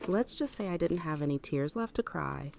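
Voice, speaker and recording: A woman playing a hardened, self-controlled housewife in an audio drama, with a gravelly voice and a dry, firm manner. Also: low-pitched, lively intonation, normal speed, a little mellow, a little hiss or hum. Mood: firm, guarded. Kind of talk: fan audio drama acting.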